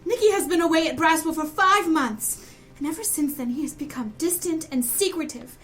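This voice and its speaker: An actress playing a defiant, anguished young daughter of a rich family in a noir audio drama, earnest and formal. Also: female voice, sits high, normal speed, fairly bright tone, faint music behind. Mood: defiant, accusing. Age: young adult. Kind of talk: noir radio drama acting.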